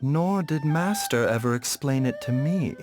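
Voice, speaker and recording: An actor playing a gentle, composed young male herbalist doctor in a video game, polite and formal. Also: mid-range voice, expressive, music in the background, lightly acted. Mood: reflective. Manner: polite, measured, soft-spoken formality. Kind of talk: video game character acting.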